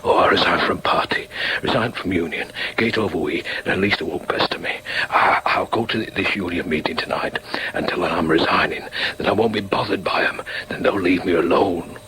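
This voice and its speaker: An actor playing a despairing working-class husband with a Northern English accent in a radio drama, sulky and bitter. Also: male voice, sits low, brisk, background noise, lightly acted.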